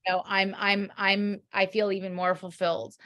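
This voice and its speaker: A middle-aged woman, a doctor and author, talking as a podcast guest in an earnest, halting way. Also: medium pitch, level tone, a bit bright, no echo. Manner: hesitant, many fillers and restarts, earnest. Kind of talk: casual interview chat.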